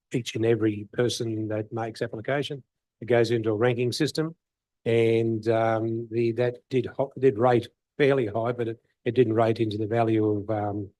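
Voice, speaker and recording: A man from Australia, a councillor explaining a committee decision in a rambling, halting way. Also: lowish voice, warm tone, no echo. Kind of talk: council meeting debate.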